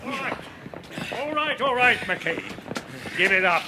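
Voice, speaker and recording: Brisk smug senior English policeman, high-pitched, very animated, radio drama, faint background noise. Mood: barking, satisfied. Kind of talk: British radio drama acting.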